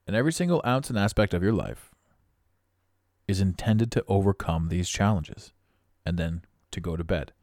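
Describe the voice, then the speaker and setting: Podcast host, raspy middle-aged man, deep and blunt, dead-dry sound. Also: moderate pace, a little mellow, dry recording. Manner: blunt, preachy, emphatic. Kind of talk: motivational monologue.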